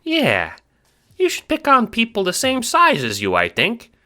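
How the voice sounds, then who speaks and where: A man voicing a cheerful, airheaded little minion creature in an audio drama, excitable, slangy and boyish. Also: mid-range voice, measured pace, voice pushed up, heavily acted. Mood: earnest, chatty. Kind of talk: fan audio drama character acting.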